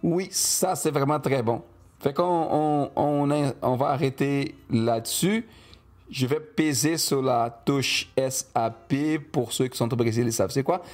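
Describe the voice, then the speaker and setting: A man's voice: medium pitch, unhurried, darkish tone. A man, a Portuguese speaker, chatting casually as a podcast co-host. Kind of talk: casual chat.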